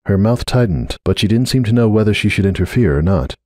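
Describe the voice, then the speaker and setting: Deep, animated male story narrator. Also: fast talker, dry recording, clean studio sound. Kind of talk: dramatic scripted fan fiction narration.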